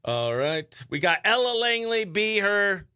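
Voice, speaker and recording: A man's voice: sits high, sing-song, a little slow, pushed and bright, clear, resonant tone. A man hosting a country radio show, chatting casually and slangily as he runs the show and interviews. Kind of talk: behind-the-scenes radio show chat and interview.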